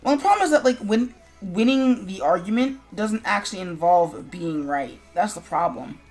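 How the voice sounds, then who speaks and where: A woman's voice: mid-range voice, expressive, moderate pace, darkish tone. Sarcastic, blunt American woman chatting over music. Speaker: streamer, show host. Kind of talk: casual opinionated chat.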